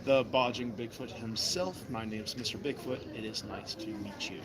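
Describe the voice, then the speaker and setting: A man's voice: fairly low pitch, normal speed. Folksy woodworker guy chatting at his mall table, busy crowd all around. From Virginia. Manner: folksy, friendly, talks while carving. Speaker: woodworker demonstrating his craft to customers. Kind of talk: casual demonstration chat. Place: vendor table in an indoor mall.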